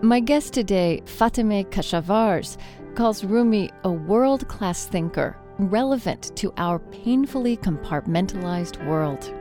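A woman hosting an interview, reading a scripted introduction and then asking measured, thoughtful questions. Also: mid-range voice, lively intonation, dark tone, soft background music. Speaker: radio host.

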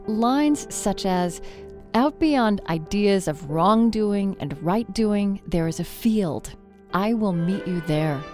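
Female interview host, measured and reflective delivery, dark mellow tone. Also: medium pitch. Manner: measured, thoughtful questioning. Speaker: radio host. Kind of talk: scripted introduction and interview.

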